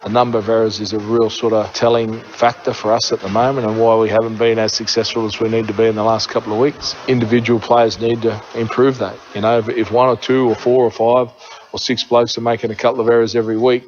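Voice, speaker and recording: A man's voice: medium pitch, flat delivery, quick pace. A man with an Australian accent speaking as a coach in a press interview soundbite. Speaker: rugby league coach. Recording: dull clip with faint noise.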